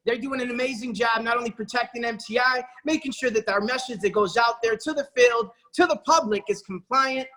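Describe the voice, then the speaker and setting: A man's voice: very high-pitched, a little fast, few pauses, pushed and bright. A man giving an enthusiastic sales presentation, eagerly addressing his listeners as folks and often restarting with repeated words. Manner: frequent repeated-word restarts. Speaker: presenter pitching an investment opportunity.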